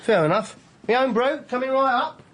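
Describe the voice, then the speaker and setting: A cheeky Londoner from a radio detective drama. His voice sits high and swings up and down, with a chirpy, colloquial chancer's patter. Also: lively intonation, a little fast, a bit bright. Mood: cheeky, eager. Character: cheeky male London chancer turned office helper.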